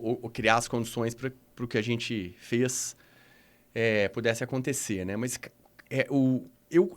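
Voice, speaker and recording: A middle-aged man from Minas Gerais with a Minas Gerais accent, a podcast guest telling his story reflectively, with many restarts. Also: mid-range voice. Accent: Minas Gerais Portuguese. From Minas Gerais, Brazil.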